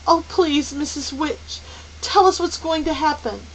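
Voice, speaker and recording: A woman's voice: unhurried, rough recording. A woman voicing an anxious adolescent girl in a storybook, emotional and pleading. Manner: high, emotional. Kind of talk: audiobook character voice. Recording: faint hiss.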